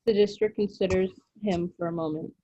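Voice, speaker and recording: A woman's voice: lowish voice, steady tone, brisk, dark tone, dry recording. A woman reading screenplay stage directions as scripted narration, on a dull, dry recording.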